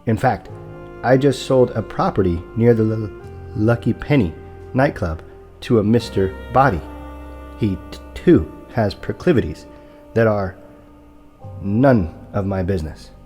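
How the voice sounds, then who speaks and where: An actor playing an unflappable, formal property broker in an audio drama, polite and precise, with a stutter on hard consonants. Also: male voice, fairly low pitch, lively intonation, measured pace, long pauses, dark tone, faint music behind. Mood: calmly businesslike.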